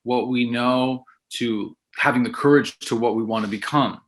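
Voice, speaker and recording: A man's voice: mid-range voice, steady tone, normal speed, slight background noise. A middle-aged man, a filmmaker guest whose first language is Italian, talking reflectively in a casual interview with many restarts.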